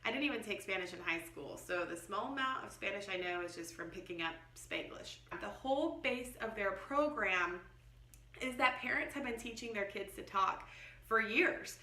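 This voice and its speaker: A woman's voice: fairly high pitch, pushed and bright. A woman chatting casually to camera in an enthusiastic, emphatic way, like a vlogger reviewing a product. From Texas. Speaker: homeschool vlogger reviewing a subscription box. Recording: echoey, roomy sound.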